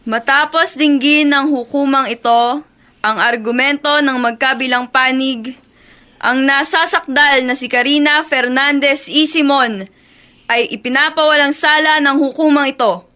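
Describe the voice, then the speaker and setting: A woman's voice: sits high, fairly even intonation, a little slow, pushed and bright. A woman playing a formal court clerk proclaiming a verdict in a Tagalog radio drama, declamatory in manner. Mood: solemn, formal.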